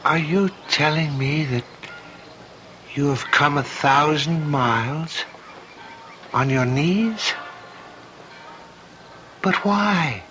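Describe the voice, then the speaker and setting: A man's voice: on the high side, drawn-out words, long pauses, pushed and bright, full character voice. A man playing a grave Pope in a radio drama. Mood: astonished. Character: astonished, grave Pope questioning a pilgrim. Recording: old radio drama, music behind.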